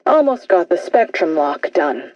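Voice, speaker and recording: A woman's voice: lowish voice, big pitch swings, measured pace, highs cut off. An actress playing a distracted, unbothered woman researcher in a video game, muttering casually as she works. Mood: absorbed, offhand. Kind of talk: video game character dialogue.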